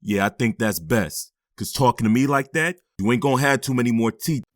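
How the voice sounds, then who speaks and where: A man's voice: medium pitch, brisk, no echo, studio quality, close to an everyday voice. An actor playing a firm but loving middle-aged stepfather who sighs often and talks wearily. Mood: threatening. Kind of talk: audio drama acting.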